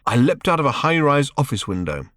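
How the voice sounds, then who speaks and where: A man voicing an impatient, self-regarding male alien traveller with a brisk, clipped manner. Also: medium pitch, very animated, fairly bright tone, no room sound, clean studio sound, pitched above the performer's own voice. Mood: urgent.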